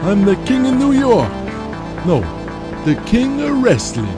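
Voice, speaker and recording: A man's voice: extremely high pitch, huge pitch swings, a little slow, music in the background, pitched above the performer's own voice, full character voice. A man voicing a boastful, swaggering wrestling mogul in an audio drama, grandiose and proud. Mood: triumphant.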